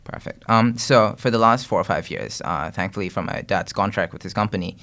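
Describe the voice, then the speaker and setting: A young man from Bangladesh speaks as an interview guest, his voice sitting very low. He talks in an earnest, reflective way, often pausing on fillers and restarting his sentences. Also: gravelly, pushed and bright. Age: young adult. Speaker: guest content creator. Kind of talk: casual interview talk.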